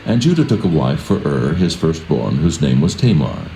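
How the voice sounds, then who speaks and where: A man narrating scripture in a solemn, measured and formal scripted style, with a reverent manner. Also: a bass voice, very animated, gravelly, dark tone. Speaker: Bible narrator. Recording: roomy echo with music behind.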